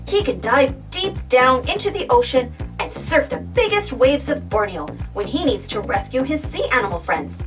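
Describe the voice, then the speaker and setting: A woman reading a storybook aloud to children in an expressive, exclamatory way, putting questions to her young listeners. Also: sits high. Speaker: storybook reader. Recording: distant from the mic.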